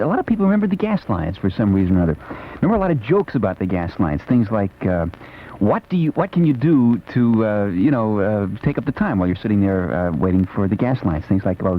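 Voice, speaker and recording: A man's voice: fairly low pitch, sing-song, fairly quick. An American man hosting a late-night 1970s music radio show, chatting in a casual, playful, rambling way. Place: radio studio. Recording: dull, noisy 1970s AM radio aircheck.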